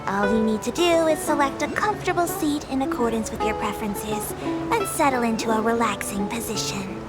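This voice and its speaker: A small creature tour guide from a video game , played by a woman, with a highish voice and a formal, courteous delivery. She makes announcements in precise, scripted phrases with a dry, matter-of-fact edge. Loud music plays under the voice. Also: normal speed, lightly acted. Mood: polite, composed.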